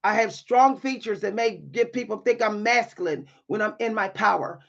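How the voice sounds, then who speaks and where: Bold deep-voiced woman with a gravelly edge. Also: moderate pace, muffled recording. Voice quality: deep, at times loud voice. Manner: bold, sassy, dry humor, repeats phrases for emphasis. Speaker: spiritual content creator and writer. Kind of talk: motivational monologue to camera.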